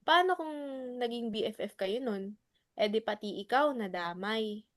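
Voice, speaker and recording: A woman's voice: on the high side, animated, normal speed, no room sound, studio quality, close to an everyday voice. A woman playing a protective, doting mother in a dramatic reading, reassuring and cautioning. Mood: doting, worried. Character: protective, doting mother of a college-age son. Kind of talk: dramatic stage reading in Tagalog.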